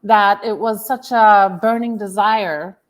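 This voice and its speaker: A woman from Turkey, around her thirties, chatting as an interview guest. Her voice sits at an ordinary middle pitch. She tells her story loosely, full of fillers and restarts. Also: fairly even intonation, slow pace. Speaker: guest, author and transformational coach. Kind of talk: casual interview chat.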